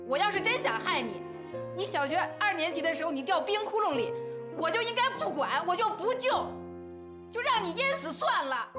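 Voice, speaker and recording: An actress playing a brash, stubborn older sister in her late thirties in a TV family drama, combative and indignant. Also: on the high side. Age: late 30s. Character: brash, stubborn, scheming older sister, quick to take offence. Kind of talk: naturalistic TV drama acting. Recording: faint background music.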